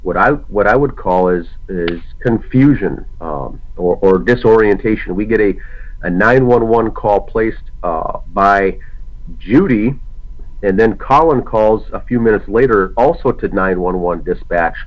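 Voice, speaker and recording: A man hosting a casual discussion broadcast, slightly hoarse from a cold, talking haltingly with many fillers and restarts. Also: lowish voice. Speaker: podcast host. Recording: lo-fi muffled audio.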